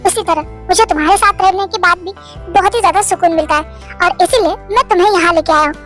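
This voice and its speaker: A man's voice: very high voice, fast talker, sharp tone, faint music behind. A narrator voicing an earnest, lovestruck male boss who makes heartfelt pleading declarations. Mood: tender. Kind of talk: storybook drama reading.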